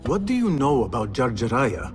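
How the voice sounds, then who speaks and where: A man's voice: medium pitch, very animated, normal speed, natural voice. An actor playing a determined, inquisitive young male assassin. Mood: resolute. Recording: game audio with music.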